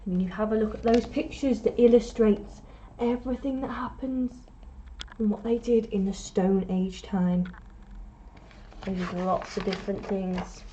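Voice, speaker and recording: Slow, high-voiced woman reading a storybook. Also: dull audio. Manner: expressive storytelling with deliberate pauses and emphasis; prompts young listeners with questions. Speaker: teacher reading a picture book to children. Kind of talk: storytime read-aloud.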